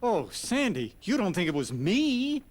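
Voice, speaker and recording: A man's voice: sits high, wildly swooping pitch, unhurried, heavily acted. A man playing an eager, good-natured skeleton showman in a cartoon video game, speaking with grand dramatic expression. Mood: eager, earnest. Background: orchestral game music.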